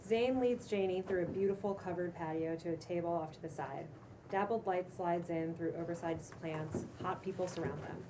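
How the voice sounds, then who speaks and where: A woman's voice: lowish voice, normal speed. A woman narrating stage directions in a cold read of a screenplay. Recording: distant microphone with clear room noise.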